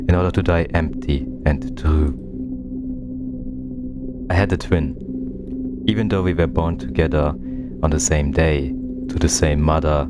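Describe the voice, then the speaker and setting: A man voicing an introspective, melancholy twin in a visual novel, narrating in a measured, confessional manner. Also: rumbling low. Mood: reflective, confessional. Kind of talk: scripted narration. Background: loud game music.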